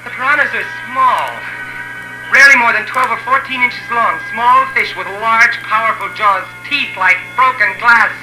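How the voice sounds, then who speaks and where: A man acting as a haunted adventurer who narrates a deadly jungle ordeal in dramatic, deliberate 1950s radio drama style. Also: very high voice. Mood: urgent, horrified. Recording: old echoey radio recording with music.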